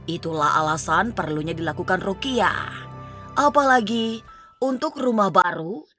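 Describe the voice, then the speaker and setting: A woman's voice: medium pitch, expressive, unhurried, pushed and bright, soft background music. A woman delivering scripted narration as the narrator of a paranormal television show.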